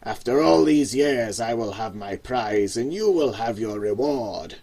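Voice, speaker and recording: A man putting on a scheming old man with a hint of a Scottish accent who speaks slowly and calmly with a cackle. His gravelly voice sounds a touch distant. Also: mid-range voice, pitched below the performer's own voice. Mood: sinister. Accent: slight Scottish. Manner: slow, calm, cackling.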